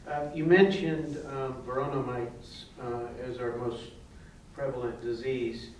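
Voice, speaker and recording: A man's voice: medium pitch, fairly even intonation, unhurried, noisy background. A man in a class asking a question hesitantly, with many fillers. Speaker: student. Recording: distant mic in very echoey room.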